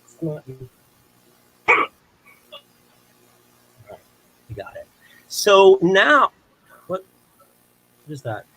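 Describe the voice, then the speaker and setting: A man's voice: high voice, huge pitch swings, very slow, pauses often, sharp tone, breathy edge, low-quality recording. A man performing a rambling comic monologue to camera, flustered, apologetic and self-deprecating, with theatrical exclamations. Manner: theatrical, exclaims in sudden outbursts. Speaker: comedic performer in an online showcase.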